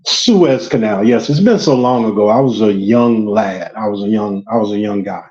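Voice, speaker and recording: A man's voice: on the low side, normal speed, a little mellow, muffled recording. A man from Georgia with a light Southern US accent, talking on a casual date in a rambling, hesitant way. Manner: rambling, hesitant, with many ums. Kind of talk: casual getting-to-know-you chat.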